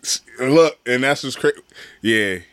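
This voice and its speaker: A man's voice: medium pitch, measured pace, clear, resonant tone, no room sound. A man from Connecticut, co-hosting a casual podcast chat, telling stories with lots of slang. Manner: animated storyteller, slangy. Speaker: podcast co-host.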